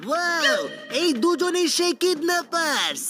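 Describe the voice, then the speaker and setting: An actor playing a flashy, excitable cartoon rock star with showy, slangy exclamations. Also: extremely high pitch, unhurried, full character voice. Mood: cool. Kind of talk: exaggerated cartoon voice acting. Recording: music behind the voice.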